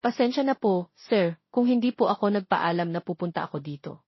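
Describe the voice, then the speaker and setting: A woman's voice: medium pitch, lively intonation, fairly quick, breathy edge, no room sound. An earnest, caring young Filipino suitor in an audiobook romance, read by a woman. He speaks politely and sincerely. The sound is dry, close and slightly dull. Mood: polite, apologetic.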